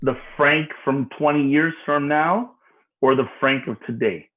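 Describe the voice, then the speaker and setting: A man in his forties talking as a guest on a business podcast, emphatic and animated, laughing easily between points. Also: on the high side, a little slow, phone-quality sound. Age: 40s. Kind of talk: casual podcast conversation.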